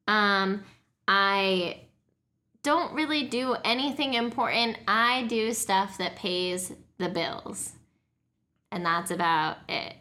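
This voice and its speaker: A woman's voice: mid-range voice, slow, sharp tone. A woman in her twenties from Colorado, chatting casually and teasing her friends on a group podcast. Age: 20s. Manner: laughs easily, teasing. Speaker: podcast co-host. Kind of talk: casual chat among friends.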